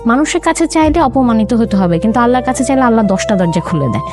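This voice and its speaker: A young woman from Chittagong with a fairly high voice tells her life story to an interviewer. She speaks earnestly and gratefully, often with religious phrases. Music plays steadily under her voice. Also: lively intonation, fast. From Chittagong, Bangladesh. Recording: background music under speech.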